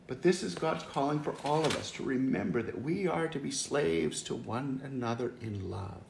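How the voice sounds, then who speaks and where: Middle-aged male pastor, earnest and conversational, gravelly, in a reverberant room with faint hiss. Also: mid-range voice, lively intonation, normal speed, darkish tone. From Wisconsin, US. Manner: earnest, conversational, frequent restarts. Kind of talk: home devotional talk. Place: living room by a fireplace, indoors. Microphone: camera or phone close up, seated.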